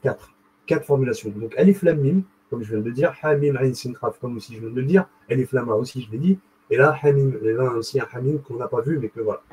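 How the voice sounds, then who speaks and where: A young man hosting his own video, talking straight to the viewer in a loose, slangy ramble that keeps jumping up with excitement. His voice sits in a middle pitch with a slightly husky, breathy edge. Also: quick pace, rough recording. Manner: rambling, animated, slangy, addresses viewer directly. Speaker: video host. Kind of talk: casual explanatory monologue.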